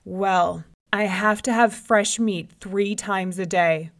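A huge, bad-tempered lion from a folktale, as a woman reader puts him on. He hollers demands and threats, loud and bossy. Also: medium pitch, fairly even intonation, normal speed, close to an everyday voice. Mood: menacing. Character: big fierce male lion, bossy and hungry.

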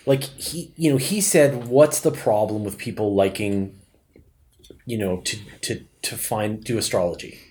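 A man's voice: lowish voice, unhurried, dark tone. A man speaking as a podcast host, asking questions in a casual, conversational way.

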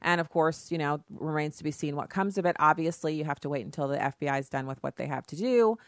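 A woman's voice: low voice, fast, no room sound, clean studio sound. A woman hosting a call-in radio talk show, firm and argumentative as she pushes back on callers. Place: radio studio.

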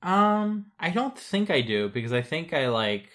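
Relaxed speaker. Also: mid-range voice, unhurried. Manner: relaxed, reflective, frequent ums and uhs. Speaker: programmer. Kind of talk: casual conversation.

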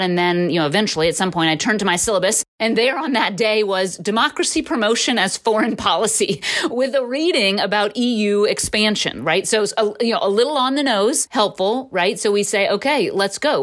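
A woman hosting a podcast on teaching, asking measured, reflective questions. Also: medium pitch, a bit bright. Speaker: podcast host. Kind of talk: podcast interview.

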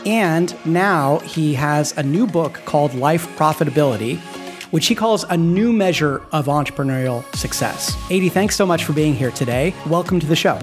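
A man's voice: on the high side, expressive, normal speed, music in the background. A man hosting a podcast about independent work, interviewing a guest in a friendly, admiring way. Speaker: podcast host.

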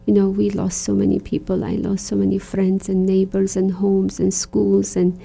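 Iraqi-born religious sister, woman, dark gravelly tone, earnest interview guest. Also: medium pitch, little pitch movement, normal speed. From Iraq. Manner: reflective, earnest, many fillers like "you know". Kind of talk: conversational interview.

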